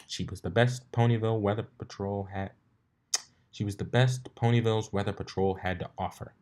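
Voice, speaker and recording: A man's voice: fairly low pitch, a little mellow. A man narrating a story expressively, sometimes stumbling over a line and reading it again. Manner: stumbles over lines and rereads them. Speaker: fan fiction reader.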